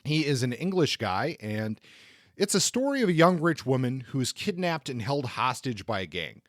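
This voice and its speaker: A man's voice: mid-range voice, sing-song, moderate pace, no room sound. Casual male podcast host, dry close sound. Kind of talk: casual podcast talk and book review.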